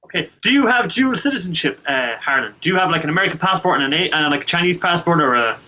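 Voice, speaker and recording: Brief: Irish male podcast host, high, bright voice, chatty and easygoing. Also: lively intonation, fast talker. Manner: easygoing, laughs often, chatty questioner. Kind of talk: casual podcast interview.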